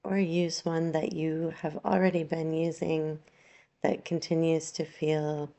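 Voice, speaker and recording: Female meditation guide: low, creaky-edged voice, gentle and unhurried yoga nidra instruction, long pauses. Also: little pitch movement.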